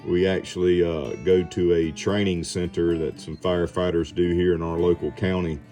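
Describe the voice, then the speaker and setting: Very deep, bright-voiced man. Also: flat delivery, normal speed, faint music behind. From southeast Georgia, United States. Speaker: amateur radio operator and TV survival personality. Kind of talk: casual radio chat.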